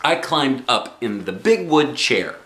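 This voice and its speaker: Man, storybook narration, very lively intonation, bright forceful tone, expressive phrasing, echoey room. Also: mid-range voice, measured pace. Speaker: dad reading children's books aloud.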